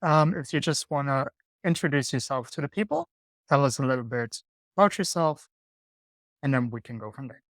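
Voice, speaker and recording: A man's voice: medium pitch, moderate pace, fairly bright tone, dry recording. A man hosting a casual interview podcast, asking questions with many ums and restarts. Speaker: podcast host.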